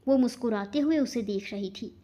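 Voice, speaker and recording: High-voiced woman narrating a dramatic story. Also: normal speed, mellow.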